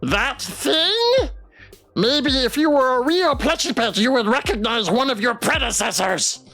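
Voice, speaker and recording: A woman voicing a bitter, menacing living toy that was once human, with a scornful, halting delivery in a dramatic visual novel. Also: high-pitched, big pitch swings, a bit bright, soft background music, exaggerated voice. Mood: cold, menacing.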